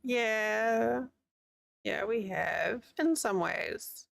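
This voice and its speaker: A New Zealand woman chats loosely with her stream audience, rambling and laughing as she goes. Her voice has a gravelly edge. The recording is dry and close, with no room sound. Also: a little high, very slow, fairly bright tone, dry recording. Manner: chatty, rambling, laughs often. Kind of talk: casual chat with live chat while crocheting. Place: home, living in the United States.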